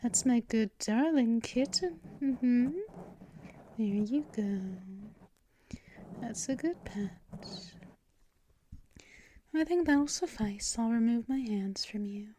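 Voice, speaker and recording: A woman voicing a gentle, possessive female vampire mistress in an ASMR roleplay, speaking softly and often dropping into whispers and shushing. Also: fairly high pitch, lively intonation, takes it slowly, long pauses, a little hiss or hum, poor audio. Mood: soothing. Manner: soft and soothing, drifting into whispers and shushing. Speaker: ASMR performer.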